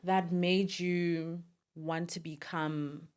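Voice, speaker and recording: Young female podcast host, slightly low voice, casual interviewing style. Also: level tone, very slow, a little mellow, clean tone.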